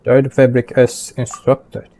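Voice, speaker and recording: A man's voice: mid-range voice, monotone, measured pace, vocal fry, dark tone. A man streaming a game with casual commentary, reading prompts aloud and often repeating words. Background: game music.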